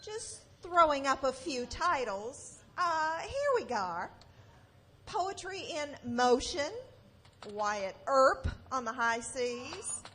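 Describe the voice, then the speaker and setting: Give me a woman as a sardonic shop clerk, high-voiced and unhurried, doing dry live sketch comedy. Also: big pitch swings, pushed and bright, full character voice. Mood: deadpan punning.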